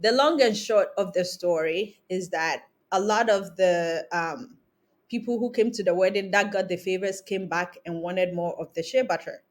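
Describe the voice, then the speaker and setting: A woman in her thirties from Ghana, a guest on a remote podcast interview, telling her career story in a measured way with frequent ums. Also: on the low side. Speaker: guest, cosmetics founder. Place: home in Accra, remote call. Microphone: remote call recording.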